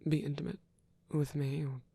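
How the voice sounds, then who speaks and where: A gentle, protective vampire priest, acted by a man for an ASMR roleplay, murmurs in an extremely breathy voice that keeps slipping into whispers. He is halting and earnest, as if carefully confessing his love. The sound is close and dry. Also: on the high side, level tone, no room sound. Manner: hesitant, halting, earnest delivery. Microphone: close microphone, dry sound.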